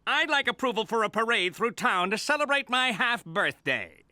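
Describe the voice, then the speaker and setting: Casting: petitioning cartoon villager, piercingly bright, earnest, dry sound. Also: male voice, extremely high pitch, sing-song, moderate pace, no room sound, exaggerated voice. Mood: hopeful. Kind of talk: cartoon comedy acting.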